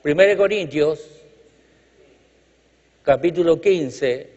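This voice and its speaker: A man's voice: fairly high pitch, slow pace, a little mellow. Preacher man in an echoey hall. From Chile. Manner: emphatic, rhythmic repetition, light jokes. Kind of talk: sermon with congregational call-outs. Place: church hall. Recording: reverberant hall, dull lo-fi sound.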